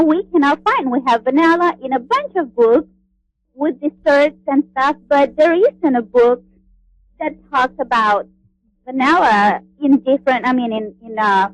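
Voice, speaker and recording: A woman, talking in a friendly, halting way. The sound is dull and lo-fi, like a phone line, and very dry. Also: high-pitched, no room sound. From Honduras. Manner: friendly, halting with many fillers. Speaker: guest, cookbook author. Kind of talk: radio interview.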